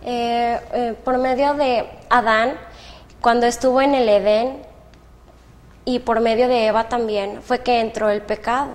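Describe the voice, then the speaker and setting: A young woman with a Mexican accent, a medical student guest, who explains carefully and pauses to find her words. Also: fairly high pitch, fairly even intonation, long reverb tail. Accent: Mexican Spanish. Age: young adult. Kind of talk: casual discussion.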